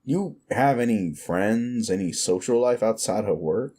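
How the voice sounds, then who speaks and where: A man's voice: lowish voice, animated, measured pace, dark tone, breathy edge. A man playing a smug, sleazy werewolf boss in an audio roleplay, talking down to the listener in a mocking, patronizing drawl. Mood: mocking, needling. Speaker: office boss.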